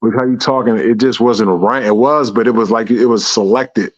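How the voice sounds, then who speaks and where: A man's voice: medium pitch, fairly even intonation, moderate pace. A man hosting a podcast in casual chat, laid-back and slangy. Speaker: podcast host. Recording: dry, dull lo-fi sound.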